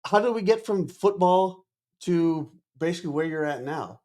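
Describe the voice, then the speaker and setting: Slightly high-voiced male podcast host. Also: expressive, a little mellow. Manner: thoughtful, halting questions. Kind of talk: casual interview.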